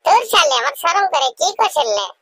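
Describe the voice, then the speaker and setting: A man voicing a bashful, gullible young village bachelor in a comic cartoon, with a pitched-up voice, colloquial hesitant manner and a rural regional Bengali accent. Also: big pitch swings, a little fast, bright, forceful tone, no echo, clean studio sound, full character voice. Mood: bashful, puzzled.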